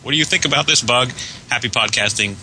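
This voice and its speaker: Very bright-voiced male podcast guest. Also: mid-range voice, fast, a little hiss or hum, low-quality recording. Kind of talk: reading listener mail aloud.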